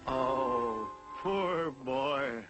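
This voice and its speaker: An actor playing a fearful, remorseful old miser in theatrical, pleading 1940s television drama style. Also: male voice, medium pitch, lively intonation, extremely slow. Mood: wistful, regretful. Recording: old 1940s television soundtrack, loud music behind.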